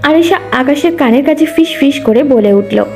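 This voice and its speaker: A woman's voice: high-pitched, expressive, normal speed, soft background music. A woman narrating a scripted dramatic story.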